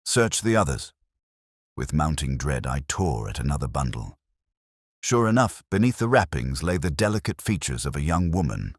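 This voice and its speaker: A man's voice: a bass voice, sing-song, normal speed, no room sound, clean studio sound. A man reading a mystery story as an audiobook narrator, in a dramatic scripted style.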